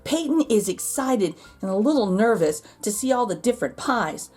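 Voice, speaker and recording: A woman's voice: medium pitch, expressive, normal speed, darkish tone, breathy edge, faint music behind. A woman host reading a children's storybook aloud expressively, drawing out key words for emphasis. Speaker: children's picture book read-aloud host.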